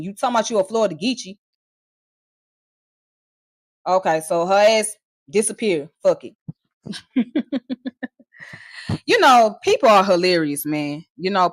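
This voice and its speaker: A woman's voice: mid-range voice, dry recording. A woman streamer delivering a heated, profane and mocking rant straight to her viewers, with scornful laughs. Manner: confrontational, addressing viewers directly. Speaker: online streamer. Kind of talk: ranting live commentary.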